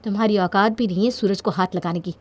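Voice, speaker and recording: A woman voicing a defiant young wife in a story, forceful and scornful in manner. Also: medium pitch, fast, close to an everyday voice. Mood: angry defiance. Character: defiant, fiery young wife standing her ground.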